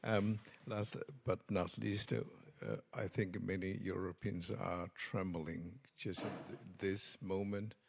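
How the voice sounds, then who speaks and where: A man moderating a conference panel, introducing speakers slowly and hesitantly. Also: sits low, steady tone, vocal fry, husky. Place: conference hall.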